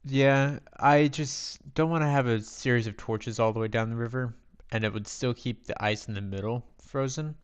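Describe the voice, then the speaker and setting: A man with a raspy voice giving casual live gameplay commentary, rambling and thinking aloud in a self-deprecating, playful way. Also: medium pitch, moderate pace, hardly any pauses. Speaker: game streamer.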